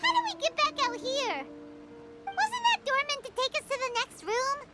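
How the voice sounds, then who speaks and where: A tiny fairy sidekick from a video game. The voice is extremely high and squeaky, childlike and wide-eyed, with loud music all around it. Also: female voice, big pitch swings, moderate pace. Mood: puzzled, worried. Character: tiny childlike floating fairy companion, curious and excitable. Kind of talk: English video game voice acting.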